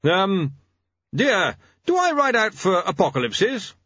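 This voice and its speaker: A man's voice: high voice, huge pitch swings, slow, pushed and bright, dry recording, highs cut off, pitched above the performer's own voice, heavily acted. A man voicing an aging, henpecked god of war for a storybook, forgetful, hesitant and sheepish. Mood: sheepish, muddled.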